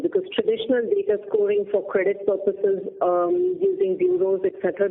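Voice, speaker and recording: A woman's voice: a little high, creaky. A woman explaining business points as a bank executive on an earnings call. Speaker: bank executive answering analysts. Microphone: telephone conference line. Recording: narrow phone line.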